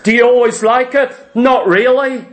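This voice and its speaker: High-pitched male preacher, exhorting. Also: moderate pace. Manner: exhorting, with rhetorical questions and emphatic repetition; dramatizes imagined dialogue. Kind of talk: church sermon.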